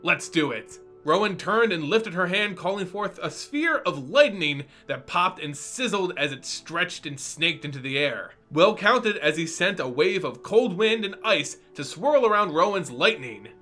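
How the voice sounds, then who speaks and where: A man giving an animated, expressive dramatic reading of a fantasy story as narrator. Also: high-pitched, unhurried, hardly any pauses, faint music behind. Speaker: narrator and reader.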